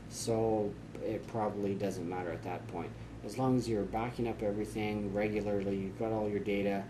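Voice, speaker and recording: A man in his forties explaining computer steps to camera in a relaxed, chatty way with frequent fillers, filmed in a small office. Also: on the low side, background noise. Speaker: tech tips host. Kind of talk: casual how-to explanation to camera.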